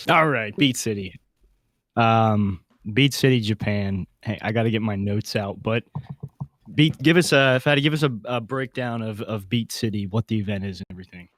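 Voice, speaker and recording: A man hosting a casual group podcast, chatting in an excitable, slangy, jokey way. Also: on the low side, normal speed, dry recording, clean studio sound. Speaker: podcast host.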